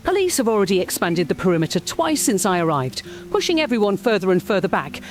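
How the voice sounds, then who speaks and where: A woman's voice: medium pitch, big pitch swings, quick pace, faint music behind, natural voice. A woman playing an earnest news correspondent in a radio drama, giving a serious, articulate field report. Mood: urgent, serious.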